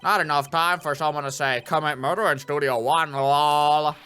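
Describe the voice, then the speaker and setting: A man's voice: fairly high pitch, fairly even intonation, normal speed, pushed and bright, clean tone, soft background music. A man voicing a flippant, cocky witness in a courtroom video game, with theatrical delivery.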